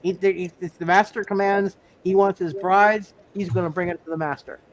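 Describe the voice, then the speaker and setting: A man's voice: high voice, fairly even intonation, normal speed, crowd noise. A man improvising narration as game master of a tabletop roleplaying game, often repeating his words as he thinks.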